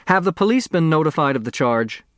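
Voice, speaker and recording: A man's voice: a little high, expressive, a little fast, no echo, studio quality, pitched above the performer's own voice, lightly acted. A man voicing a dignified, indignant female judge with a formal, biting manner in an audiobook. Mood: biting dignity.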